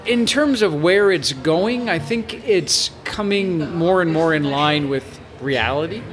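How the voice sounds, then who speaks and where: A man hosting a conversational business interview, speaking in a reflective way. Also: a little high.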